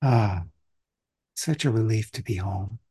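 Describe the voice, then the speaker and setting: Husky-voiced man giving a calm dharma talk. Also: lowish voice, slow pace. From Austria. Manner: calm, reflective, conversational. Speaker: meditation teacher.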